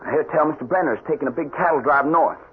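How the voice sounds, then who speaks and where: An actor playing a bitter, stubborn young Texas soldier home from war in a radio drama, with a folksy Southern accent. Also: male voice, on the high side, racing, phone-quality sound, lightly acted. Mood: stubborn, hurt. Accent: American Southern. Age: young adult. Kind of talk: old-time radio drama acting. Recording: thin, band-limited vintage radio recording, hissy.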